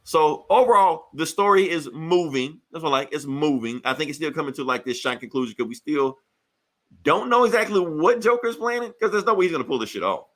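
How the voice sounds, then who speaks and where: Bright-voiced man reviewing comics, sweary. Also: on the high side, brisk. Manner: profane, slangy, animated retelling. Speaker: podcast host. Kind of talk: casual comic book review chat.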